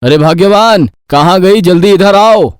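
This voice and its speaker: A man's voice: high voice, a little slow, clean tone, no room sound, clean studio sound. A man voicing an exasperated, grumbling village father in a Hindi cartoon story, scolding and doubtful. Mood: eager.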